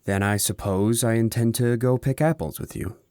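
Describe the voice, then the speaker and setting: Guarded male knight, played by an actor, terse and dutiful, mid-pitched, fantasy audio drama. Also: fairly even intonation, moderate pace, a little mellow, clean tone, no echo, clean studio sound, natural voice. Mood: shy, awkward. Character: reserved, dutiful male knight, shyly devoted.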